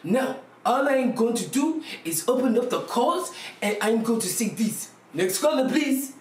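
A woman's voice: a little high, sing-song, measured pace. A woman playing a brash, money-hungry Haitian TV psychic who sells her hotline in a bossy, theatrical, insistent way. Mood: grand, assured. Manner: theatrical, bossy, insistent, repeats phrases for emphasis. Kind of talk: comedy skit of infomercial and call-in psychic show. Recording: echoey room, distant mic, music behind.

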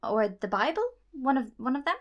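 A woman's voice: high-pitched, expressive, brisk, dull audio. A woman playing a helpful, earnest angel of good in a radio drama, explaining things matter-of-factly. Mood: earnest, explanatory.

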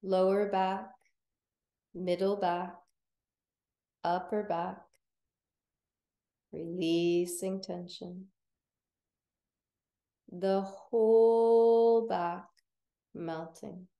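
A woman teaching yoga nidra, guiding relaxation in a gentle, soothing, unhurried manner with long pauses. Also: mid-range voice, steady tone, echoey room. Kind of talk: guided relaxation meditation.

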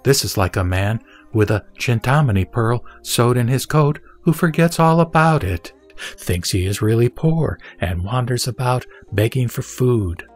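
A man reads sacred text as a narrator, with a measured and solemn delivery. His pitch is average, and music plays underneath the scripted, dramatic reading. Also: lively intonation. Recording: background music behind the voice.

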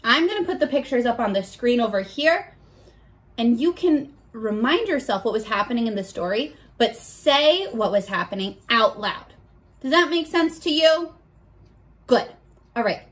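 A young woman leading a read-aloud lesson for children, talking to the camera in an animated, encouraging, child-directed way. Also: a little high, a little slow. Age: young adult. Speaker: children's teacher. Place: bedroom at home, indoors.

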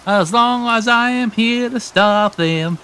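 A man voicing a grumpy, muddled, deadpan detective who mutters and repeats himself in a comedic amateur redub. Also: very high voice, takes it slowly, smooth, heavily acted. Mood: grumbling. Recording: noisy, with rain behind.

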